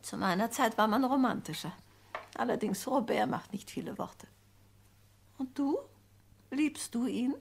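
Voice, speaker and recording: A woman playing a domineering, spiteful old mother in a radio play, sharp, needling and commanding. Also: a little high, lively intonation, a little slow, vocal fry, pauses often, slight background noise. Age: older.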